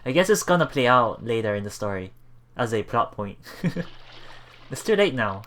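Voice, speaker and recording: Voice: medium pitch, sing-song, normal speed, fairly bright tone, a little hiss or hum. A let's play host reading game text aloud with casual commentary, often stumbling and repeating words.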